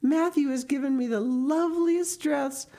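An excitable young storybook girl, acted out by a woman. Her voice sits high and lifts with each gushing exclamation. She is earnest and dramatic, pouring out her feelings in rapture. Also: normal speed. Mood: excited.

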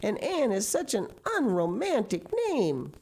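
A woman's voice: mid-range voice, very animated, unhurried, warm tone, husky, pitched above the performer's own voice, full character voice. A woman voicing a dramatic, imaginative orphan girl of about eleven who speaks in gushing, theatrical outbursts. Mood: eager, wistful. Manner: gushing, theatrical, tearful pleading.

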